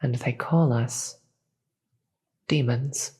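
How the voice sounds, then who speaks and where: A performer voicing a proud, imperious demon queen in a fantasy audio roleplay, deliberate and theatrical, with a breathy voice and contempt for the gods. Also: mid-range voice, warm tone, heavily acted. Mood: sardonic, threatening. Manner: deliberate, theatrical, grandiose speech with dramatic pauses.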